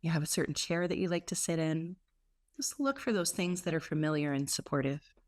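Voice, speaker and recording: A woman leading a guided meditation as a teacher, speaking in an unhurried way with gentle pauses between phrases. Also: deep voice. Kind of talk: guided meditation, softly instructive.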